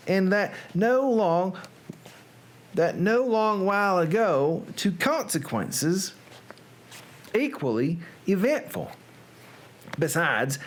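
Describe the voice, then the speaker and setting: A performer doing a Southern storyteller reading an old gothic horror tale. The delivery is slow and drawn out, with wide swings of pitch. Long, ornate words come haltingly. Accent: American Southern. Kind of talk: dramatic literary reading.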